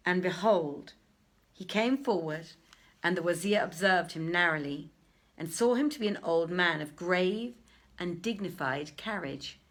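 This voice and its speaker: A woman reading a literary tale aloud as a storyteller, theatrical and deliberate, with dramatic pauses and swells. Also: on the low side, fairly bright tone. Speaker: storyteller, reader.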